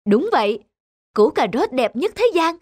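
A man voicing a gentle, devoted, simple-hearted rabbit husband in an animated fairy tale, earnest and tender. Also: very high-pitched, moderate pace, no echo, clean studio sound. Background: music.

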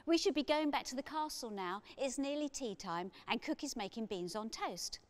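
Woman as young girl, sensible, firm, dismissive, brisk, children's storybook. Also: on the high side, lively intonation, voice pushed up, close to an everyday voice.

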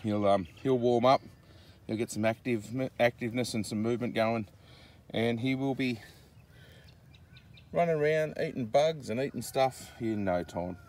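A man in his forties with an Australian accent, narrating a casual vlog in an informal, halting way, recorded outdoors on a handheld phone. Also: medium pitch, a little mellow. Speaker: farm vlogger. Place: outdoors on a dry rural farm. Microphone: handheld phone at arm's length.

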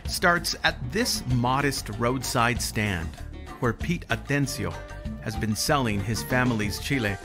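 A man's voice: medium pitch, unhurried, over music. A man in his forties with an American accent, delivering scripted narration as the host of a regional TV feature. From southern New Mexico, United States.

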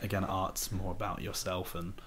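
A man's voice: sits low, little pitch movement, moderate pace, fairly bright tone, slight background noise. A young man, hosting a casual podcast interview in an affirming, slangy way. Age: young adult. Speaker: podcast host. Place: living room in a home. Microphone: desktop microphone on table.

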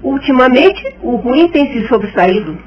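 An actress playing a bitter, sharp-tongued, suspicious woman friend in a radio soap. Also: high voice, expressive, normal speed. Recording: dull lo-fi sound.

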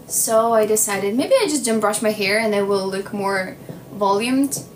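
A young woman in her twenties whose first language is Ukrainian, chatting casually on a phone livestream in a small room, slow and playful with lots of "like". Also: mid-range voice. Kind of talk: casual livestream chat reading comments. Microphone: phone at arm's length. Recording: noticeable background noise.

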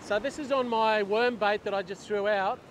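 A man's voice: sits high, normal speed. A middle-aged man explaining step by step how he prepares bait and fishes from a beach, on a lapel mic outdoors. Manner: steady step-by-step explaining while working. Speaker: fishing instructor. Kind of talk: hands-on fishing tutorial. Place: open beach by the surf. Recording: wind and surf noise on lapel mic.

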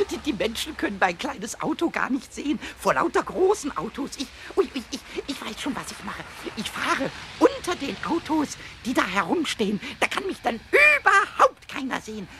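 A tiny mischievous kobold with a very high, squeaky, childlike voice, leaping wildly up and down in pitch. He stammers in excitement and wails when upset. An old radio-play recording with faint tape noise. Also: moderate pace, hardly any pauses, heavily acted. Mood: busy, scheming. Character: small mischievous male kobold, cheeky and wild.

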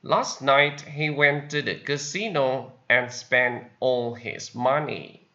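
A man with a Vietnamese accent, a native Vietnamese speaker, teaching English vocabulary and repeating each example sentence slowly. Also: medium pitch, steady tone, sharp tone, lo-fi sound. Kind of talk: slow vocabulary lesson with repetition.

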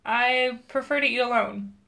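A woman plays a bitter, lonely teenage girl in an audio drama. The voice is fairly high, and she talks in an emotional, guarded way. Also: fairly even intonation, moderate pace, creaky, sharp tone. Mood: defensive.

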